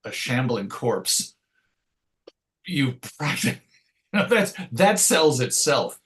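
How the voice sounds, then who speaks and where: A man's voice: mid-range voice, very animated, slow pace, a bit bright. A middle-aged man giving a casual interview, telling anecdotes in a chatty, wry storytelling way. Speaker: interview guest, comics writer and editor.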